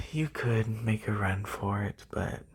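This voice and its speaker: A performer as a slow, breathy, haughty serpent noble. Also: medium pitch, expressive, fairly bright tone, exaggerated voice. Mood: calm menace. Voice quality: breathy, whispery. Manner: haughty, condescending, unhurried. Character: haughty, wealthy lamia noble, testing a servant. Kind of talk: soft-spoken ASMR roleplay. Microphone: binaural, close. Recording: close binaural microphone.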